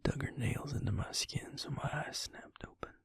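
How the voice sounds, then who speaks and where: A man playing a bitter, wounded vampire recalling his trauma in an intimate audio roleplay, speaking in a halting, breathy whisper. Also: lightly acted. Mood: distressed, hushed. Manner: halting, hushed, with heavy pauses. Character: wounded, bitter male vampire, once a healer, recounting trauma.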